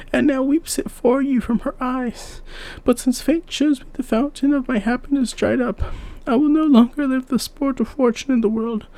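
A man's voice: mellow, dry recording. A man doing a wailing, grief-stricken queen. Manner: wailing, lamenting, high falsetto. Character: grief-stricken royal queen mourning her children.

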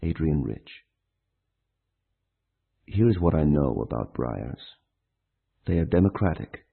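A man's voice: very deep voice, lively intonation, slow pace, gravelly. A man reciting a poem as a scripted reading. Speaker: poetry reader. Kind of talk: poetry recitation. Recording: very thin phone-like sound.

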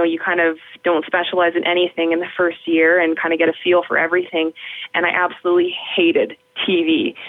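Young woman, deeper voice, bubbly, laughs a lot, phone line, dry. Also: no echo. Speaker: radio host guest. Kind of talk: casual interview chat.

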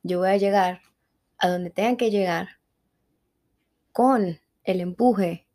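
A woman from Mexico with a Mexican accent, a guest in a conversational interview, telling her story in a reflective and animated way. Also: medium pitch, takes it slowly. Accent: Mexican Spanish.

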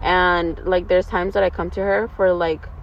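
A woman's voice: lowish voice, little pitch movement, moderate pace. A woman in her early twenties chatting casually with a friend in a slangy, rambling, giggly way. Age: 20s, twenty-three. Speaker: vlog host. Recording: dull lo-fi, outdoor traffic noise.

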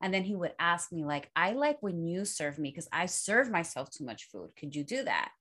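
Woman, middle-aged, lowish voice, casual chat, reflective, animated storyteller. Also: moderate pace. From Puerto Rican and Dominican family roots. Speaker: co-host.

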